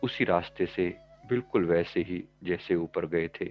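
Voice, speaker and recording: A man leading a guided meditation as a teacher, giving calm, measured instructions in short phrases with pauses between them. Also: sits low, highs cut off, faint music behind. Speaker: meditation teacher and life coach.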